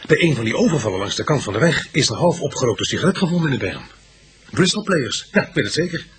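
Brooding police detective on a noisy recording. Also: male voice, mid-range voice, sing-song, fast, a bit bright. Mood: insistent. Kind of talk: Dutch radio drama acting.

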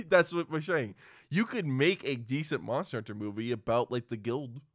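A man's voice: mid-range voice, lively intonation, moderate pace, dry recording, clean studio sound. A man co-hosting a casual debate, insistent and given to swearing as he makes his case. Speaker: podcast co-host. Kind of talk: casual debate about films and games.

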